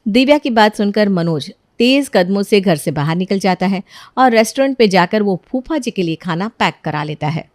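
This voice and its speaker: A woman narrating a scripted family story in an even storytelling manner. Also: medium pitch, moderate pace, no room sound, clean studio sound.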